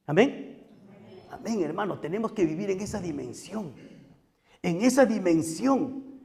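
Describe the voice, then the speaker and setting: A man's voice: sits high, animated, a little slow, vocal fry, a little mellow. A man with a Peruvian Spanish accent preaching as a pastor, repeating phrases for emphasis and putting questions to his congregation. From Peru. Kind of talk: sermon. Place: church hall. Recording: long hall reverb.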